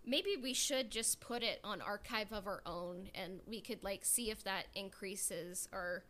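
A woman's voice: mid-range voice, a little slow, pushed and bright, slight background noise. A woman hosting a casual podcast chat, rambling and peppering her talk with "like" and "you know". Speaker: podcast host.

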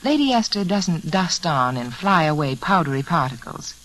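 Woman, lowish pitch, persuasive beauty adviser, 1940s radio ad, measured, faint noise. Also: big pitch swings, a bit bright. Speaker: beauty adviser in sponsor advertisement. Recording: faintly noisy vintage radio recording.

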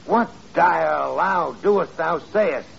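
A pompous, hot-tempered opera-spoof father, high-voiced and declaiming archaic words slowly. The sound is a muffled, noisy old radio comedy recording. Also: vocal fry, exaggerated voice. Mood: mock-aghast. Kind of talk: 1940s radio comedy acting.

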